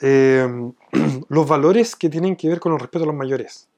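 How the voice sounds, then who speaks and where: A man's voice: fairly high pitch, measured pace, a little mellow. A man from Chile with a Chilean accent, explaining things from his own experience in a conversational interview. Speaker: business owner being interviewed.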